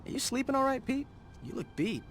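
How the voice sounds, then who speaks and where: A man's voice: very high-pitched, big pitch swings, fairly quick, slight background noise, exaggerated voice. An actor playing a chummy young man with a grudge, in a video game. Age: young adult. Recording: loud music underneath.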